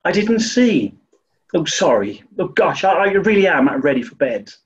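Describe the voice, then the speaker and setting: A man playing a grumpy, dim-witted upper-class British gentleman detective, reluctant and flustered, in a 1940s radio comedy. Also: a little high, sing-song, moderate pace, husky, heavily acted. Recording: muffled, distant microphone.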